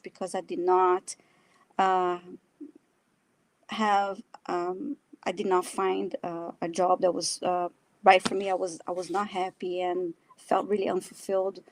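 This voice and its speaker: A woman with a Brazilian Portuguese accent tells her own story in a casual live chat. She speaks at a middle pitch, pausing and restarting as she searches for words. Her manner is modest and self-deprecating. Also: little pitch movement, no echo, poor audio. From São Paulo, Brazil. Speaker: guest, animal communicator and energy healer.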